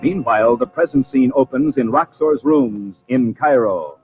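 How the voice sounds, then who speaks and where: A man's voice: medium pitch, moderate pace. An American man working as a 1940s radio announcer, reading scripted copy in a polished, emphatic, dramatic way. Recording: tinny old radio broadcast, music behind.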